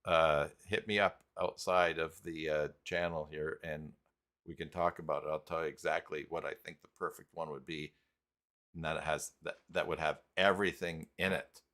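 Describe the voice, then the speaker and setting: A man hosting a live stream tutorial in a casual, halting way, thinking aloud as he goes. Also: rumbling low, little pitch movement, fairly bright tone. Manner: halting, thinking aloud while clicking around. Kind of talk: casual live tutorial talk, reading chat.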